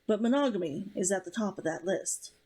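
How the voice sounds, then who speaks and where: Woman reading as a brooding guy in a romance novel, guarded, quiet and firm. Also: medium pitch, animated, brisk, slightly rough, pitched below the performer's own voice. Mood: bitter, firm.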